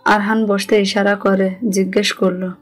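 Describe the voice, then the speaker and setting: A woman's voice: mid-range voice, flat delivery, moderate pace. A woman with a Bangladeshi accent reading scripted narration of a romantic story. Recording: music under the voice.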